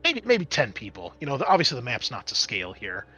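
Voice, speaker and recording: A man's voice: medium pitch, wildly swooping pitch, fast, pushed and bright, breathy edge. A man narrating as game master of a tabletop roleplaying game, explaining things in a conversational, improvised way.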